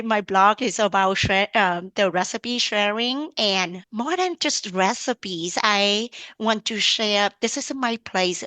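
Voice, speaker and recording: A woman's voice: medium pitch, no room sound. A woman from Vietnam with a Vietnamese accent, speaking English as a second language, chatting animatedly as a podcast guest. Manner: animated, laughs often, non-native phrasing. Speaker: podcast guest, lifestyle blogger. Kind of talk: casual interview chat.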